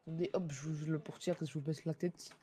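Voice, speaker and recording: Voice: mid-range voice, steady tone, fast, warm tone. A player role-playing a police cadet online, politely and hesitantly narrating each action over voice chat. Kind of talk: casual improvised online role-play. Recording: noisy game voice chat.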